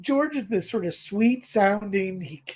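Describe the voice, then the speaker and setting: A man co-hosting a podcast, casually retelling a story in an animated way. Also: high voice, measured pace. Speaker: podcast co-host. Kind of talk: casual chat, retelling a radio drama.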